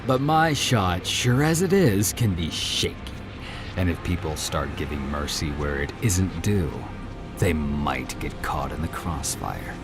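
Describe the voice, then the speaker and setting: A man's voice: low voice, expressive, measured pace, over music. A man playing a taunting, swaggering hunter god with a drawl in an audio drama. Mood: grim menace. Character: cocky, taunting male hunter god, menacing and mocking.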